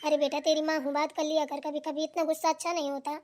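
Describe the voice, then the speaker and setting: Woman acting plaintive, high-voiced gentle mother. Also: level tone, quick pace, natural voice. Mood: hurt, coaxing. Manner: coaxing, plaintive. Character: gentle, pleading older mother of a married daughter. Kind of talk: amateur Hindi family cartoon dialogue.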